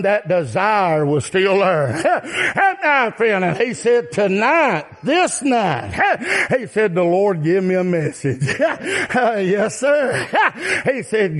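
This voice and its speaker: A man with a Southern US accent preaching a fervent, folksy country sermon in short repeated phrases, with sharp gasps between them. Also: high voice.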